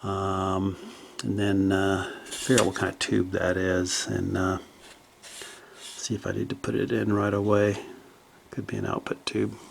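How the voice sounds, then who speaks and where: A man giving casual, unscripted narration as a hobbyist repairer at his workbench, low-key and hesitant as he thinks aloud. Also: little pitch movement, unhurried, creaky, a little hiss or hum. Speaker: hobbyist electronics repairer presenting his project. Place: small indoor home workshop at a bench.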